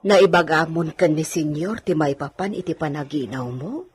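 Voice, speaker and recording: An actress playing a concerned household confidante who counsels and urges like an elder sister in a radio drama. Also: female voice, deep voice, normal speed, faint music behind, close to an everyday voice. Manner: urging, counselling.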